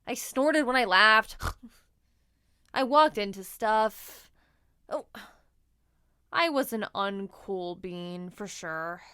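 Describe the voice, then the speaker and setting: A woman's voice: mid-range voice, animated, extremely slow, pauses often, pushed and bright, clean tone, no room sound, studio quality, full character voice. A woman voicing an awkward, self-deprecating bean in a children's storybook, with a wry, hammy delivery. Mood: self-mocking.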